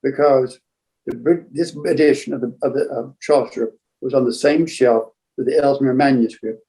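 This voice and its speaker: An older man with a mellow, relaxed voice and a medium pitch. He talks as a seasoned collector sharing anecdotes, casual and halting, wandering through his tale. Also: rough recording. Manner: rambling, halting raconteur who acts out remembered exchanges. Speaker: museum founder and book collector, guest storyteller.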